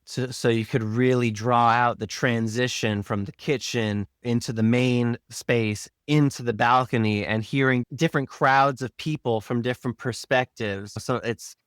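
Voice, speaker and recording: A man's voice: medium pitch, steady tone, normal speed, hardly any pauses, smooth, no echo, studio quality. A man talking casually as a sound designer being interviewed, explaining his craft step by step. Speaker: sound designer and podcast guest. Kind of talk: casual behind-the-scenes interview talk.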